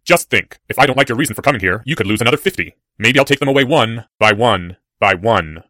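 A man's voice: medium pitch, lively intonation, fast, sharp tone, dry recording, studio quality. A man voicing an evil professor who taunts with grave, silky, sardonic politeness in an audiobook story. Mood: mock-solemn taunting.

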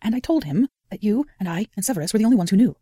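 A man voicing an older female teacher who speaks quietly and subdued. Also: high voice, expressive, rapid-fire, breathy edge, dry recording, studio quality, voice pushed up. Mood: guilty.

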